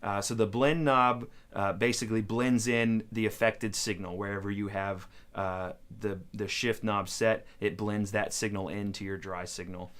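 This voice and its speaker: A man's voice: fairly low pitch, fairly even intonation, moderate pace, few pauses, fairly bright tone. A man hosting a gear demo, explaining things in an informal, conversational way as a casual tutorial. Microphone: studio microphone into audio interface.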